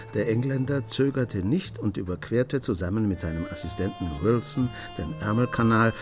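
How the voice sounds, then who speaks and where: A writer telling crime adventures in a radio play. His voice is on the low side, phrased with dramatic emphasis, and guitar music plays behind him. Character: male writer narrating a master thief's adventures. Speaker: narrator.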